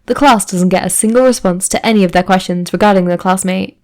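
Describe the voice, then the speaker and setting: A woman's voice: medium pitch, quick pace. A woman reading fan fiction aloud as a scripted story narration. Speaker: fan fiction podfic reader.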